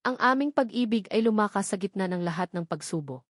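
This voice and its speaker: A woman narrates a romantic drama in the first person, in a scripted storytelling style. Her voice sits at a medium pitch, and the sound is very dry with hardly any room on it. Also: lively intonation, fairly quick, no room sound.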